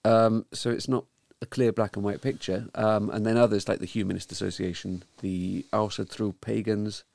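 Gravelly low-voiced male host. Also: level tone, a little mellow, dry recording. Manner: measured, hesitant with many ums. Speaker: podcast host. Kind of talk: casual news discussion.

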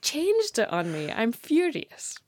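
A woman journalist, a guest on a podcast, telling stories in a lively, playful way and laughing often. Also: high voice, slow. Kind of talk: casual conversational interview.

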